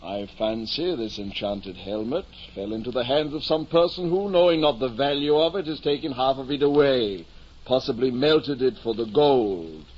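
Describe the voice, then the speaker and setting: An actor playing a grandiose, deluded ageing knight-errant in an old radio drama, speaking in a pompous, archaic, declamatory way. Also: male voice, mid-range voice, expressive, normal speed, breathy edge. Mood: musing, lofty. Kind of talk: 1950 old time radio drama acting. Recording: muffled noisy 1950s radio recording.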